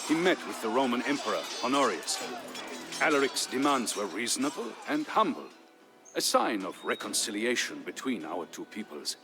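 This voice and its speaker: An actor playing a solemn Visigothic warrior chieftain, telling his people's history as measured, theatrical narration for a strategy game. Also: mid-range voice, sing-song. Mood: stirring, intense. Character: grave Visigothic warrior chieftain, the dead king's brother-in-law, recounting history. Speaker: story narrator. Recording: narration over prominent music.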